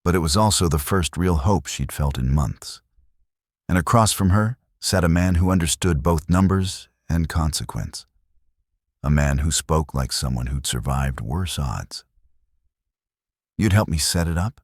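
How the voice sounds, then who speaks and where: A man's voice: very deep voice, a little slow, dry recording, studio quality. A man narrating a scripted Western romance story in the manner of an audiobook.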